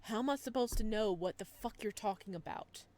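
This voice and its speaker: A woman's voice: mid-range voice, a little fast, mellow, natural voice. A woman voicing a lonely, wounded young man in a visual novel, wistful and biting. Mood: sneering. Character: lonely, wounded, sardonic young male prisoner, Mishka.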